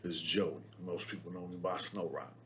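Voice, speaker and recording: A man's voice: low voice, fairly even intonation, moderate pace, fairly bright tone, a little hiss or hum. Middle-aged guy w/ a southern twang, rambling vlog talk, keeps saying you know what im saying. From San Diego, California. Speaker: vlogger. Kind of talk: casual monologue to camera.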